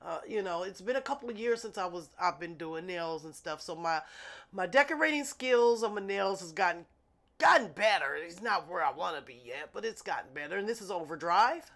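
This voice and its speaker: A woman's voice: lowish voice, expressive, fairly quick, fairly bright tone. A woman, chatting to her viewers in a loose, self-amused way. She sounds congested and a little sniffly. She talks at her nail desk at home, close to an overhead camera. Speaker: nail artist vlogger. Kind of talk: casual unboxing chat.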